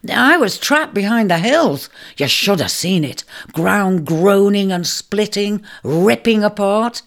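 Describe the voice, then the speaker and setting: A storyteller voicing a proud, self-important male ram who brags loudly and declares things with great grandeur. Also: medium pitch, sing-song, slow, pitched above the performer's own voice. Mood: boastful. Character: proud boastful male ram, restless wanderer. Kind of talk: storybook character voice.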